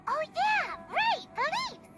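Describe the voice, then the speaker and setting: Squeaky, excitable tiny fairy sidekick. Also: female voice, takes it slowly, over loud music, full character voice. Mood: excited. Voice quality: squeaky, childlike. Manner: talks of self in third person, excitable. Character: tiny childlike floating fairy companion, excitable female.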